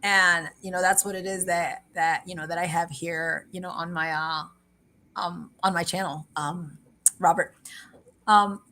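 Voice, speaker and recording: A woman's voice: lowish voice, fairly even intonation, unhurried, sharp tone. A woman hosting a livestream, chatting casually, rambling and repeating herself, switching between English and Spanish, laughing often. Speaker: livestream host. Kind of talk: casual chat.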